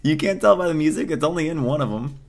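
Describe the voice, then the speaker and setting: A young man hosting a podcast in casual chat, with a booming voice and frequent laughter. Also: animated, fast, creaky, a little hiss or hum. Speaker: podcast host.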